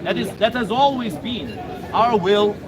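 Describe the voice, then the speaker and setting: A man's voice: sits high, a little slow. A man giving an impromptu speech as host of an outdoor rally, in an emphatic, repetitive, declamatory way. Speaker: rally speaker, event host. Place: outdoors in an urban street. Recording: noisy outdoor street crowd.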